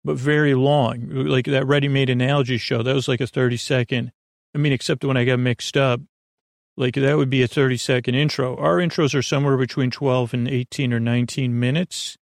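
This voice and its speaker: Gravelly, rambling male bedtime podcast host. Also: mid-range voice, fairly even intonation, no echo, clean studio sound. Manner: meandering, halting, often trailing off and restarting; goofy, self-deprecating. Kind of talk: rambling, casual bedtime monologue.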